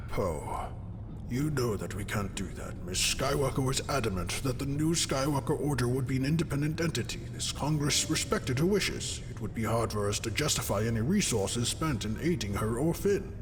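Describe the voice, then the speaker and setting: A man's voice: mid-range voice, lively intonation, normal speed, close to an everyday voice. A man playing an earnest, principled galactic statesman in a fan audio drama, speaking formally and oratorically. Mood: regretful, firm. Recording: noisy, long hall reverb.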